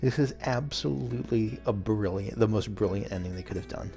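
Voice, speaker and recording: A man's voice: on the low side, fairly quick, vocal fry, over music. A man hosting a let's play, giving casual, amused and enthusiastic game commentary.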